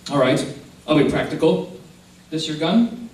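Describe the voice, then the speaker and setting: A bitter, sardonic husband, played by a male stage actor in an old-time radio play. His voice is mellow and slightly high for a man, with deliberate delivery. It comes from afar in an echoing hall. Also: animated. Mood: grim, bitter. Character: grim, bitter husband confronting a murderess. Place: theater stage before a live audience. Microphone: stage mics, recorded by far camera. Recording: echoey stage hall, far mic.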